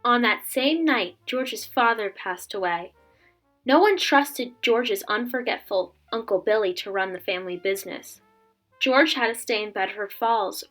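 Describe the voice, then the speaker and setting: High-voiced young woman reading to children. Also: fairly bright tone. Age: 20s. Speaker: teacher reading a children's story aloud. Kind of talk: casual intro chat and storybook read-aloud.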